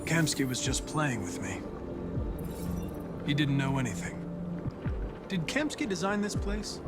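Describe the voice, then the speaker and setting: Casting: earnest, troubled android detective, higher male voice, precise delivery, cinematic game with music behind. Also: big pitch swings, unhurried. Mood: questioning. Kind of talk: video game drama acting.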